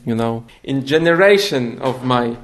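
A young man from Poland with a Polish accent, a documentary filmmaker answering interview questions in halting English, often pausing to search for words. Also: medium pitch, lively intonation.

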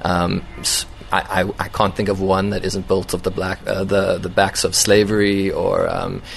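Film director type, low flat gravelly voice, slow thoughtful answers full of ums, faint hum behind. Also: male voice. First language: English. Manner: thoughtful, hesitant with many ums and repeated starts. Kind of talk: interview answers. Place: event venue.

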